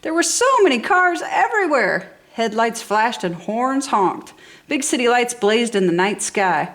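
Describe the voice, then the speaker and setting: Enthusiastic woman reading a children's storybook, higher-pitched. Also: big pitch swings, measured pace, a bit bright. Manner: enthusiastic, dramatic emphasis. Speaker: storybook reader. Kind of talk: expressive children's story narration.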